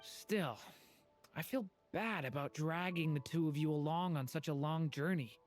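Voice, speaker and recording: A woman's voice: deep voice, normal speed, darkish tone, faint music behind, close to an everyday voice. A woman playing an earnest, polite and somewhat hesitant young character in an anime game. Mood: thoughtful.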